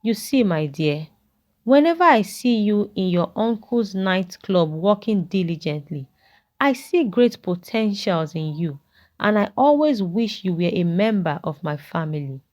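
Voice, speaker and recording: Woman as kindly old man, fatherly, regretful, measured, folktale storytelling. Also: medium pitch, expressive, darkish tone, lightly acted. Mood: reassuring. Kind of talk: character dialogue in a narrated folktale.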